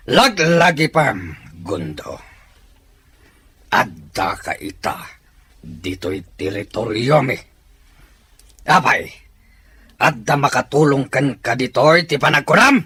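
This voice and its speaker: A man playing a cruel, gloating chieftain of a rival tribe in a drama, taunting and cackling with drawn-out menace. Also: medium pitch, creaky, sharp tone, husky, heavily acted.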